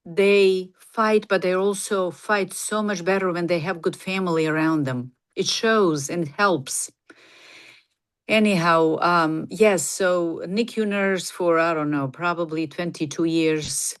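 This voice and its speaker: A woman's voice: fairly low pitch, fairly even intonation, slow, clean tone. A middle-aged woman from Bosnia, a native Bosnian speaker, talking casually as an interview guest. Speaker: podcast guest, nurse practitioner and clinic founder.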